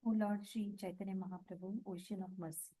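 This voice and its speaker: A woman's voice: mid-range voice, steady tone, mellow, husky. A woman in the audience briefly translating verses, heard from far off the mic. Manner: quick, brief answers from the audience.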